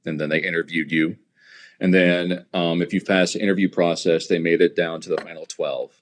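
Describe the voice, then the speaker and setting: Deep-voiced American man, halting and conversational. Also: steady tone. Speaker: stadium public address announcer, interview guest. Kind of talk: casual interview talk, anecdotal.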